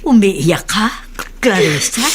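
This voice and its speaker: A woman's voice: medium pitch, expressive, slow, slightly rough. An actress playing a motherly, concerned older woman who soothes and confides in a Filipino radio drama. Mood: gently probing. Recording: radio drama with music underneath.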